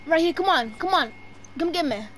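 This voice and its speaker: A woman's voice: very high voice, expressive, normal speed, a bit bright, a little hiss or hum. A woman playing a pleading young woman who coaxes urgently and hesitantly in an amateur game roleplay film. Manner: hesitant, urgent, repetitive coaxing. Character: pleading young woman coaxing and luring a vampire.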